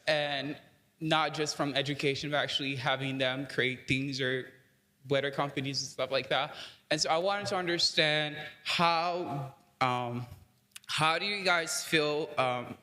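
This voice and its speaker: A man's voice: fairly high pitch, bright, forceful tone. A young man from Cameroon asking a panel a question from the audience mic, polite and hesitant with many fillers. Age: young adult. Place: conference hall, audience mic. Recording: reverberant hall.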